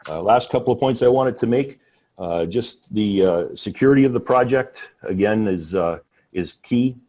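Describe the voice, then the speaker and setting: Low voiced guy presenting on a webinar, lots of uh and restarts. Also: mellow. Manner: halting, many restarts and fillers. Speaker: panel speaker.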